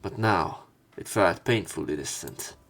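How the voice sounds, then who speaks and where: A man with a fairly low voice reads a visual novel story aloud in let's play style. His reading is a little halting, with small stumbles and repeated words. Faint music plays behind him. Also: expressive, gravelly, a bit bright. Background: game music.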